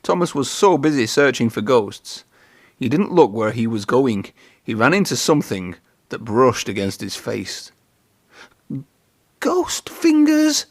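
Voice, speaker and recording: A man narrates a children's story with very animated intonation. His delivery is expressive, in a close, dry recording. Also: mid-range voice, normal speed, dry recording. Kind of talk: children's read-aloud narration.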